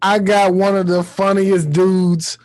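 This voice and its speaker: Slow-talking man hosting a casual podcast. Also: sits high, flat delivery, darkish tone. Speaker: podcast host. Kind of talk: casual podcast interview chat.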